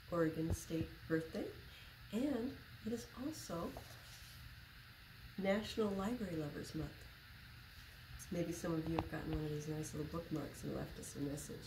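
A woman in her sixties reading a picture book aloud to children, expressive and deliberate, as a library storyteller. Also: low-pitched. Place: small public library room, indoors. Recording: roomy echo, faint background noise.

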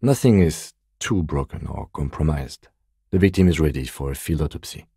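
A man playing a composed, meticulous police lieutenant detective in a video game, speaking in a precise, deadpan, politely reserved way. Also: extremely low pitch, moderate pace, creaky, breathy edge, no room sound, clean studio sound, exaggerated voice. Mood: clinical. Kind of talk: video game character dialogue.

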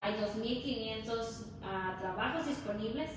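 A woman's voice: a little high, measured pace. Mexican woman, distant in an echoey room. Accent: Mexican Spanish. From Hidalgo, Mexico. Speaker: interview guest. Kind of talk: conversational explaining. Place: indoor lounge nook with brick wall and concrete floor. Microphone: distant camera or phone. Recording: echoey, noisy room, off-mic.

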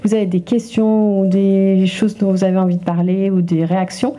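A woman's voice: mid-range voice, flat delivery, moderate pace, warm tone. A woman hosting a library event, introducing the speakers in an informal, hesitant way. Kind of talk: introducing a public talk.

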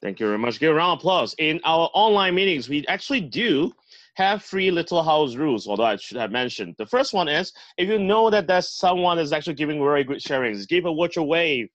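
A man's voice: a little high, expressive, a little fast, a bit bright, no echo, lo-fi sound. A man hosting an online club meeting over a video call, introducing panelists in an upbeat emcee manner. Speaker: host.

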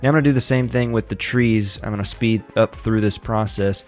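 A man in his thirties hosting a design tutorial, talking in a relaxed, chatty way with fillers and light laughs into a close studio mic. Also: lowish voice, brisk, soft background music. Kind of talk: casual screen-recorded tutorial. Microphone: studio microphone close up.

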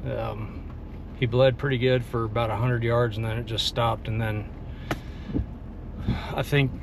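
A man in his forties with a Texan drawl talks into a phone held at arm's length, relaxed and plain-spoken, with easy fillers between thoughts. His voice sits at a middling pitch. A faint hum of the outdoors and the truck stays behind him. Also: measured pace, creaky. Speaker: bowhunter filming himself. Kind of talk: casual self-filmed hunting vlog. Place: pickup truck cab and outdoors on a farm. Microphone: phone camera at arm's length.

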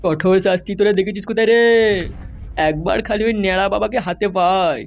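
Man playing a menacing, high-pitched cartoon gangster boss. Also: quick pace, vocal fry. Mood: menacing, vengeful. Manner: menacing, swearing, boastful. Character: vengeful foul-mouthed male gangster politician, the big boss. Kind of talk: comedy cartoon dialogue.

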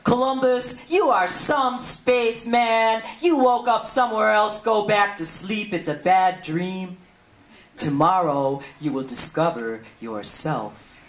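A woman in her thirties performing spoken-word poetry in a declamatory, deliberate way with dramatic pauses, on a handheld microphone in a hall. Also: mid-range voice, a little hiss or hum. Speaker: poet. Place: indoor hall, on stage. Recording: roomy live hall sound, music behind.

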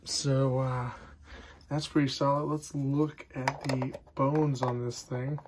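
A man's voice: medium pitch, level tone, slow, noisy background. A man casually showing off an item for sale at a table indoors, loose and chatty, trailing off and restarting his sentences, recorded on a phone camera. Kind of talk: casual product demo. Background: radio and tape music playing.

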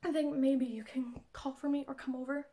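A woman's voice: high-pitched, steady tone, moderate pace, warm tone. A woman in her twenties playing a frantic, exasperated young woman rambling to a friend by phone in a comedy monologue. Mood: desperate pleading. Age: 20s. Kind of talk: comedy monologue audition acting.

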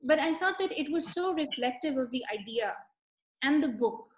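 Woman, young author, high voice, talks on a video call from a room that echoes a bit. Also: lively intonation, moderate pace. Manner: warm storyteller, lingering on striking details. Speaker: author being interviewed. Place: room with bookshelves, indoors.

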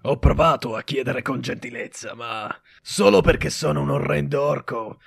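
Gravelly, gruff cartoon ogre. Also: male voice, mid-range voice, normal speed, dry recording. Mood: bitter, aggrieved. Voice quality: gravelly, rough. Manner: threatening, blustering. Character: gruff, angry male cave ogre with a sick child. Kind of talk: children's cartoon character acting.

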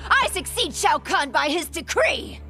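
Imperious warrior empress, wildly expressive. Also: female voice, very high-pitched, a little slow, soft background music, heavily acted. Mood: outraged. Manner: haughty, theatrical, threatening. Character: imperious, hot-tempered, vengeful young warrior empress, villainess. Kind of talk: dramatic fantasy game acting.

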